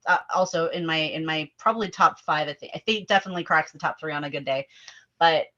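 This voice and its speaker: A woman with a bright, forceful voice hosts a casual film discussion. She is animated and opinionated and wanders into asides. The sound is a little dull and lo-fi. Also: low-pitched, quick pace. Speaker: host leading a table-read discussion.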